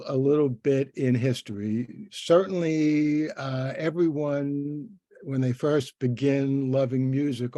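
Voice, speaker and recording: A man's voice: mid-range voice, mellow, no echo. An older man hosting a conversational interview, speaking in an effusive, rambling way. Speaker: interviewer and host, professor.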